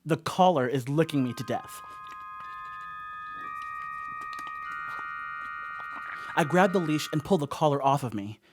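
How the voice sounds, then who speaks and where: An actor playing a rattled, rambling stoner dog sitter in a radio play monologue, telling a story conversationally with wry asides. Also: male voice, a little high, expressive, fairly quick, a little mellow, soft background music, close to an everyday voice. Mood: alarmed, urgent.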